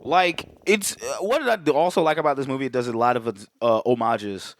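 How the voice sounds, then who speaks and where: A man's voice: medium pitch, fairly quick, dry recording. A young man co-hosting a podcast, rambling in casual chat with many restarts. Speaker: podcast co-host.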